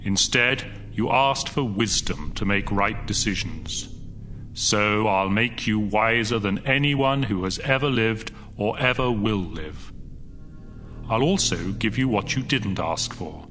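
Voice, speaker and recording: An actor playing God as a benevolent, all-powerful deity in a dramatized scripture audio drama, assured and authoritative. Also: male voice, lowish voice, slow pace, sharp tone, faint music behind. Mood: benevolent, majestic.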